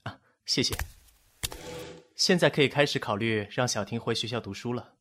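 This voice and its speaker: 一名男配音演员扮演一位业余心理复健师，说话冷静，带点调侃。. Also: male voice, mid-range voice, moderate pace, fairly bright tone, lightly acted. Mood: composed. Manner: dry, teasing, composed. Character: wry young man, amateur therapist, calm and sly. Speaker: psychological rehab counselor.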